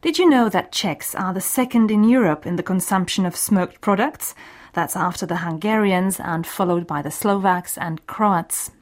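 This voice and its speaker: A woman hosting a scripted radio language course, explaining things in a clear teaching manner. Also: fairly low pitch, moderate pace.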